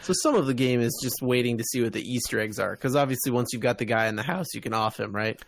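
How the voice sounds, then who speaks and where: A man with an average-pitched voice talks as a podcast co-host. His manner is low-key and conversational as he chats about video games. The recording is very dry, with little room sound. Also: fast talker, creaky, no echo.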